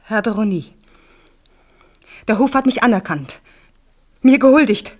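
An actress playing a proud, scornful royal mistress in a radio drama, speaking formally with cutting haughtiness. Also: female voice, fairly high pitch, animated, a little slow. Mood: haughty, scornful.

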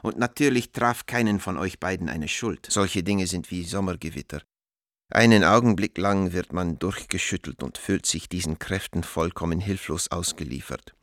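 A man's voice: deep voice, normal speed, a bit bright, no echo, studio quality. An actor playing an affectionate, loyal middle-aged man in a radio play, reading out his letter in a reflective, tender way. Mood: gentle, consoling. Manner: reflective, tender, literary phrasing.

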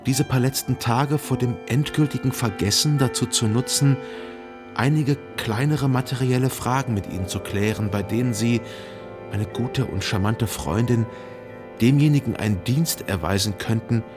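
An actor playing a melancholy, self-deceiving gentleman, a jilted lover narrating a literary radio drama in a measured, formal and carefully articulated way. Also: mid-range voice, music in the background, lightly acted. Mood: restrained, wounded. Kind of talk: literary radio play narration, reading a letter.

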